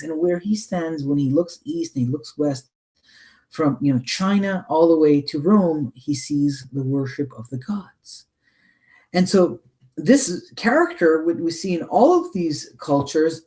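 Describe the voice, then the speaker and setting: Mellow-voiced man explaining with emphasis. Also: a little high. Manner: emphatic, rhetorical questions, repeats phrases for weight. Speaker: interview guest, researcher. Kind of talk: extended explanatory talk on Bible prophecy.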